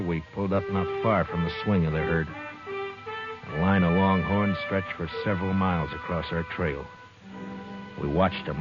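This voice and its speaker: A deep, grave frontier marshal. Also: male voice. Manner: measured, grave narration. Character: steady, stern male frontier marshal. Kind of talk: 1950s radio drama narration and acting. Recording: muffled old radio recording with music.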